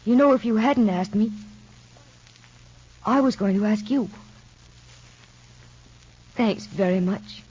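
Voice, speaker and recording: A nervous young mother who can't shake a nameless fear, her husky voice halting and soft. It comes through a dull, lo-fi old radio recording, the pitch sitting in an ordinary middle range. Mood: worried. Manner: hesitant, worried, soft-spoken. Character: anxious young mother fearing for her son. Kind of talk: 1940s radio soap acting.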